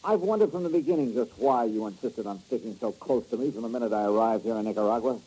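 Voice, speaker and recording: A man's voice: mid-range voice, quick pace, warm tone, husky, natural voice. An actor playing a hard-boiled male insurance investigator, clipped and sardonic, in an old radio drama. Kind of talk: 1950s radio drama acting. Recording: muffled old radio broadcast.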